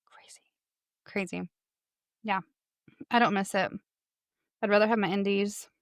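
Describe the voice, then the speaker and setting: A young woman with a Texan accent hosting a casual author interview, bubbly and quick to laugh. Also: mid-range voice, steady tone, dry recording, clean studio sound. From Texas. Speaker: podcast host.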